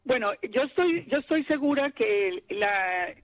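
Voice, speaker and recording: A woman's voice: on the high side, lively intonation, unhurried. Guest: woman, 60s, earnest testimony, muffled remote line. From Colombia. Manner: earnest, with frequent restarts. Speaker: guest, Torah teacher. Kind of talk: interview answers, testimony.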